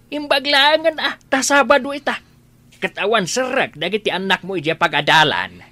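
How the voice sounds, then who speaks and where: A man's voice: high-pitched, very animated, moderate pace, bright, forceful tone, heavily acted. A man with a northern Philippine accent acting a concerned, kindly old father in a radio drama.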